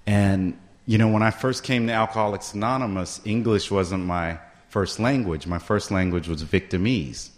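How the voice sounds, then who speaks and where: Low-voiced man telling his story. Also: normal speed, hardly any pauses, a little hiss or hum. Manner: conversational, keeps asking "right?" to the room. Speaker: speaker at a recovery meeting. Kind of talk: personal story told to a meeting.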